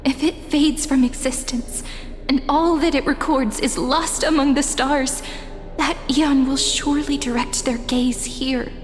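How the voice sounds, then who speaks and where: An actress playing a playful, wistful young heroine in a game cutscene, talking in a teasing, gentle way. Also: female voice, high-pitched, fairly even intonation, measured pace. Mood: calm. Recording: long reverb with faint music.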